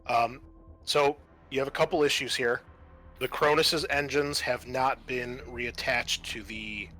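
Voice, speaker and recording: A man's voice: medium pitch, fairly even intonation, measured pace, bright, forceful tone. A man acting as game master, explaining rules and situations conversationally in a tabletop roleplaying session.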